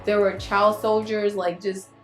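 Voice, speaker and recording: A woman's voice: mid-range voice, level tone, measured pace, echoey room, over loud music. A woman from Liberia chatting casually and reflectively as a vlogger, sharing personal thoughts in a candid, conversational way. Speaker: vlogger hosting a YouTube channel. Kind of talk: casual confessional chat to camera.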